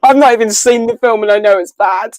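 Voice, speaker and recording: A man's voice: high-pitched, fairly quick, no echo. A man chatting casually as a podcast co-host, swearing freely with crude, self-deprecating humour. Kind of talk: casual chat, watch party banter.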